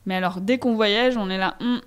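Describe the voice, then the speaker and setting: A young woman with a French accent speaks as a guest in a casual interview. Her voice sits at a middle pitch. She is candid and conversational, often pausing to find the right word. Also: clean tone. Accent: French from France. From France. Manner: hesitant, searching for words, candid.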